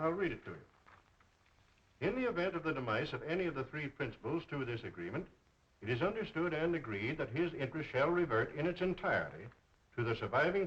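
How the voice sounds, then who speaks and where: Male, formal, pressing, scheming partner; animated pitch, muffled 1930s movie sound. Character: insistent, scheming male business partner, coldly businesslike. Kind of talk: 1930s film acting. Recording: dull 1930s film soundtrack.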